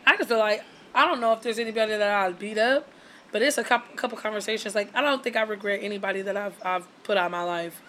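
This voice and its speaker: A woman in her twenties co-hosting a podcast, talking in animated, teasing casual banter and laughing often. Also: mid-range voice, fast, a little hiss or hum. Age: 20s. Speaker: podcast co-host.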